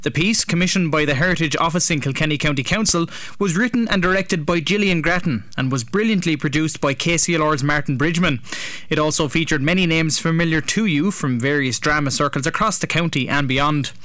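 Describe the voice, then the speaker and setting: An Irish man presents a radio programme. His voice sits a little high for a man, with a scripted broadcast delivery. The recording is close and dry. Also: fairly quick, fairly bright tone, no echo. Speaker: radio show host.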